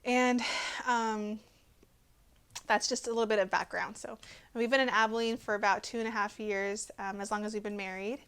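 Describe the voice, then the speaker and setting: A woman's voice: mid-range voice, fairly even intonation, normal speed, fairly bright tone, smooth. A woman in her twenties with an American accent, giving a personal testimony to camera in an earnest, hesitant way. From Texas, USA. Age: 20s.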